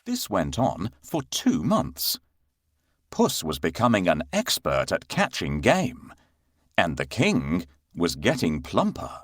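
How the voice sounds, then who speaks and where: A man narrating a scripted children's story in a measured, expressive storyteller's manner. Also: deep voice, breathy edge, dry recording.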